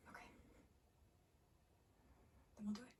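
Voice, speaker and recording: A woman's voice: unhurried, darkish tone, recorded from a distance, a little hiss or hum, lightly acted. A woman playing a caring, concerned young girlfriend in an intimate video game scene. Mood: concerned, tender.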